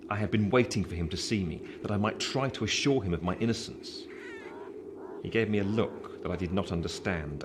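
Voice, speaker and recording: Low-voiced narrator recalling boyhood. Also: male voice. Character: grown man soberly recalling his boyhood. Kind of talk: scripted first-person narration in a radio play. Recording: noisy background ambience.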